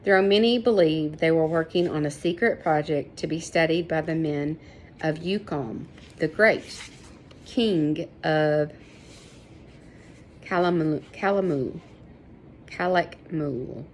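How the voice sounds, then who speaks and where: A woman's voice: sits low, takes it slowly, darkish tone, a little hiss or hum. Woman reading as an earnest storybook village girl. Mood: informative. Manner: earnest, explaining. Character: respectful, knowledgeable young Mayan village girl. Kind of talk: storybook character voice.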